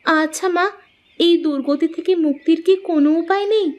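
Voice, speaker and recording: A woman's voice: very high-pitched, moderate pace. A woman playing a curious, earnest young daughter-in-law in a cartoon, with a questioning, concerned manner. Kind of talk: scripted cartoon dialogue.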